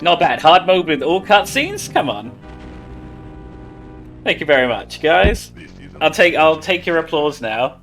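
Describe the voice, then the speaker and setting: A man's voice: on the high side, big pitch swings, measured pace, pauses often, fairly bright tone. Male speedrunner chatting over music. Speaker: speedrunner playing live. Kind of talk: casual live speedrun commentary.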